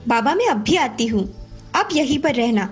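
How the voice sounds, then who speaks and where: A kind young village girl, played by a woman in an animated moral tale. Her voice is high, and she speaks in a polite, earnest way. Light music runs underneath. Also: normal speed. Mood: caring. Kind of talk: animated moral-story dialogue.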